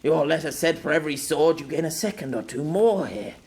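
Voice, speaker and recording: Storybook knight, voiced by a male narrator: middle-aged, devoted, pleading, raised pitch, very animated. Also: a little fast, close to an everyday voice. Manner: impassioned, pleading.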